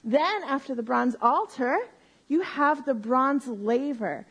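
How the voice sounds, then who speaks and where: A woman teaching a Bible lesson to a live group on a microphone, explaining slowly and step by step and often checking in with "right?". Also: high voice, breathy edge, rough recording. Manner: says she has a loud voice. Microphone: handheld or stand mic.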